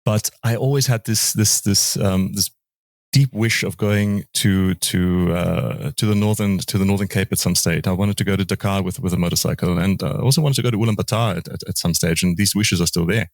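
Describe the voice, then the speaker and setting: A man in his forties, from Austria and raised in South Africa, talking as an interview guest, halting with many restarts and repeated words. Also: deep voice, gravelly, no room sound, studio quality. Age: 40s. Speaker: guest, motorcycle rider. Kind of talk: casual interview conversation.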